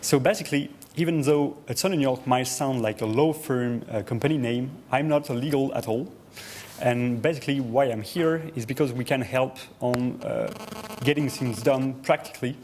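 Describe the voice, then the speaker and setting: A man with a French accent gives a presentation at a steady middle pitch, pausing often on "uh" and restarting his phrases. A faint ambient hiss sits under his voice. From France. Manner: hesitant, frequent "uh" fillers and restarts. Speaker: speaker presenting to an audience. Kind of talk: presentation talk.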